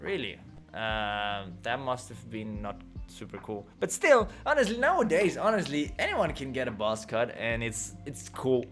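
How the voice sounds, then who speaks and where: A man's voice: mid-range voice, wildly swooping pitch, unhurried, a bit bright, faint music behind. Rambling young man chatting on stream. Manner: rambling and loose, laughs often, swears casually. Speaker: livestream host reading chat. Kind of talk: casual chat.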